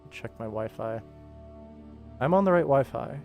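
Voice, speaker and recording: A man's voice: medium pitch, lively intonation, unhurried, vocal fry, dull audio. American man streaming casually over music. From United States. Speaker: livestreamer and animator. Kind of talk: casual livestream chat while working.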